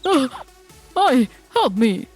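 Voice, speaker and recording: A woman's voice: high-pitched, big pitch swings, takes it slowly, a little mellow, soft background music, exaggerated voice. A woman voicing a whiny, cowardly divorced father in a manga dub, pleading and flustered.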